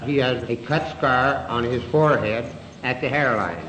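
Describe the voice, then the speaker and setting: A man's voice: mid-range voice, normal speed, fairly bright tone. An American man formally reading an official police description on old radio. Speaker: police chief of detectives. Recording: noisy, echoey hall, old radio.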